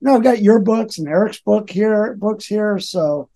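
A man's voice: high-pitched, unhurried, mellow. An American man chatting casually as a guest, in a hesitant, self-deprecating way. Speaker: college instructor, podcast guest. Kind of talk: casual chat.

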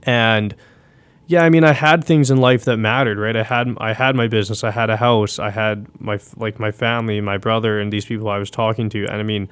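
Man with a smooth, flat, low voice. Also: no echo. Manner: reflective, halting, restarts sentences. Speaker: podcast guest. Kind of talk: casual interview, telling his own story.

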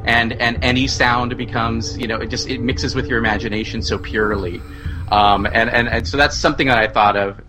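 A man talking casually as a panelist in a musing, halting way. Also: mid-range voice, fairly even intonation. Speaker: horror audio fiction writer and panelist. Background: music.

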